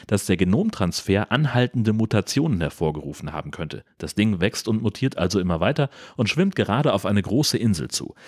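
A man's voice: fairly low pitch, a little mellow, no room sound, clean studio sound. A man hosting a podcast, reading a scripted, comedic film recap. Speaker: podcast host.

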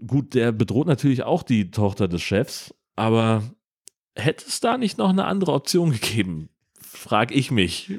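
A man's voice: medium pitch, sing-song, normal speed, husky. A man with a German accent chatting casually and with dry irony as a podcast co-host about films. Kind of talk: casual film discussion podcast.

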